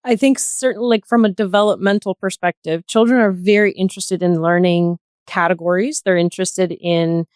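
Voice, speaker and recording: A woman's voice: lowish voice, fairly even intonation, fairly quick, few pauses, darkish tone, clean tone, dry recording, clean studio sound. A woman speaking as a podcast guest, explaining her reasoning in a conversational way. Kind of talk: conversational panel discussion.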